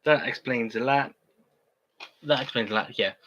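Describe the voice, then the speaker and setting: A young man chatting casually on a livestream, rambling with many halting restarts. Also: medium pitch, fairly bright tone, muffled recording.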